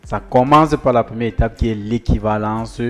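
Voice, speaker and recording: A man's voice: on the low side, moderate pace, fairly bright tone, soft background music. A man advising students on study procedures, speaking directly to viewers, repeating key points with emphasis. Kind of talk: explanatory talk to camera.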